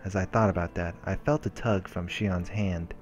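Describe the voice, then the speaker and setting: A man with a fairly low voice reads game narration aloud in a storytelling manner. Faint music plays behind him as he hosts a let's play. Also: fairly even intonation, moderate pace. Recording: music under the voice. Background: game background music.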